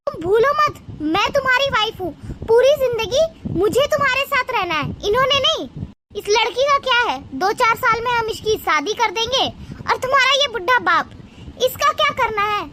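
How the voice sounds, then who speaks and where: A woman voicing a haughty young city wife, scornful, bossy and demanding, in an animated moral story. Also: very high voice, noisy background. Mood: indignant, scornful. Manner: disdainful, demanding.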